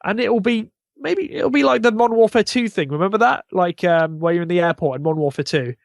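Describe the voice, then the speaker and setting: A man's voice: sits high, expressive, quick pace, vocal fry, no echo, clean studio sound. A man speaking as a podcast guest in an eager, rambling, conversational fan style. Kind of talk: casual chat.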